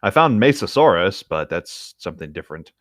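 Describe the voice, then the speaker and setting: A man's voice: deep voice, big pitch swings, a little fast, fairly bright tone, no room sound, studio quality. A man chatting casually and narrating a fan-written story as an amateur co-reader.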